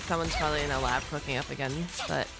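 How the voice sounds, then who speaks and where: Lowish voiced girl streamer reacting to a game, slangy and sweary, music going behind her. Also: fairly even intonation, quick pace, creaky, low-quality recording. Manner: slangy, rambling, swears freely. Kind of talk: casual live reaction commentary.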